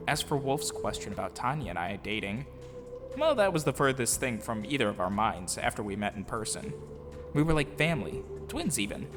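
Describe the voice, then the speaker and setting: A man's voice: medium pitch, sing-song, fairly quick. A man giving an earnest, sincere scripted narration of his own life story in audio drama form. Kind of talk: scripted autobiographical narration with acted dialogue. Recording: music behind the voice. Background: music.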